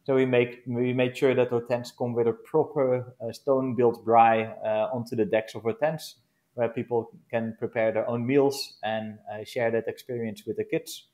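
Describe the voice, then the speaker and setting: A man with a Dutch accent, a glamping business founder answering interview questions in a casual, hesitant way, often pausing to find words. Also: mid-range voice, little pitch movement, darkish tone. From Netherlands. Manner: hesitant, searching for words with many pauses.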